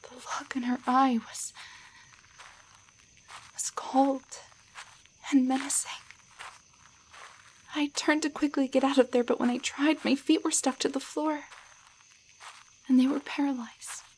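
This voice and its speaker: A woman playing a timid young waitress shrunk into a doll, in a roleplay audio drama, speaking in a halting, stammering way. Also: high-pitched, fairly even intonation, slight background noise, low-quality recording. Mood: frightened.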